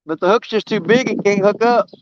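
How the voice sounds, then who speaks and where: Guy fishing live, hoarse and losing his voice, highish voice, dry dull sound. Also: brisk, background noise. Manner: rambling, self-mocking, drawling. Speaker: fishing vlogger streaming live. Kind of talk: casual live-stream chat while fishing. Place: outdoors by a river.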